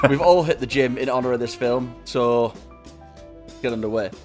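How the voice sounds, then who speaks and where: A man's voice: mid-range voice, unhurried, darkish tone, faint music behind. A young man co-hosting a casual podcast, rambling and laughing as he drifts into tangents. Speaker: podcast co-host.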